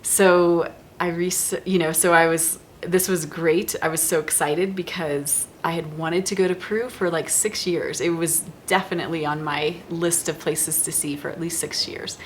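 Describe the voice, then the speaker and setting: An American woman speaks in a low voice, telling personal stories in a casual interview. Her delivery is earnest and halting, with repeated phrases and pauses. A rumbling background noise sits under the recording. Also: steady tone. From Kansas City, United States. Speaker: life coach and retreat facilitator.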